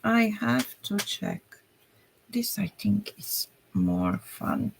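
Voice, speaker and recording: A woman from Romania with a Hungarian accent, chatting slowly and haltingly to her viewers while she crafts and thinks aloud. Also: mid-range voice, wildly swooping pitch, gravelly, a little hiss or hum, poor audio. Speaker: crafter streaming a journaling session. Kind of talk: casual live-stream chat while crafting.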